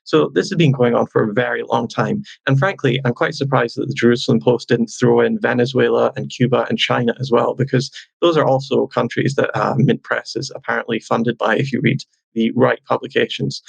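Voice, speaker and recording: Brief: gravelly male guest, husky and sardonic, dry mic. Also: medium pitch, dry recording, rough recording. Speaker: guest journalist. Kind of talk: measured interview answers.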